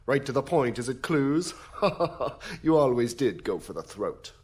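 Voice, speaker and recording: Actor as wry, smooth-talking prosecutor. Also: male voice, mid-range voice, sing-song, a little slow. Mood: smug, affable. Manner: wry, insinuating, theatrical. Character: smooth-talking, wry male crown prosecutor offering deals. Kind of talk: audio drama acting.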